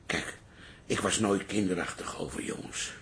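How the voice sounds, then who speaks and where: An actor playing a gruff, blustering old bar-keeping father in a radio drama. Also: deep voice, normal speed, fairly bright tone. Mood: weary, worried. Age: older. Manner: blustering, scolding.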